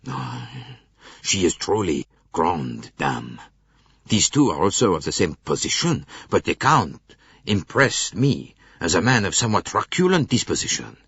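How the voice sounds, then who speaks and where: A man's voice: sits low, very animated, a little slow, a bit bright, husky, dry recording, highs cut off, exaggerated voice. A man voicing a fretful, deferential railway official with a French accent, grumbling and hesitant, in an audiobook. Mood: fretful, reluctant. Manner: grumbling, hesitant, tag questions. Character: fretful, deferential male railway official, anxious to avoid trouble.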